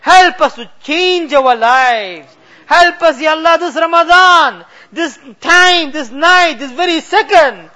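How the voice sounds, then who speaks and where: A man's voice: very high voice, expressive, takes it slowly. Man tearfully pleading in prayer. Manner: weeping, pleading, swings between loud cries and hushed murmurs. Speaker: imam leading a dua. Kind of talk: emotional supplication sermon.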